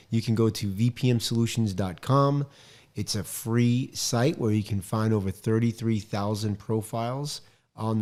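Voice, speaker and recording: Middle-aged male podcast host, upbeat and joking, quick to chime in, close studio mic, home studio. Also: lowish voice, warm tone. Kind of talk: casual interview podcast. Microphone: studio mic on boom arm, headphones.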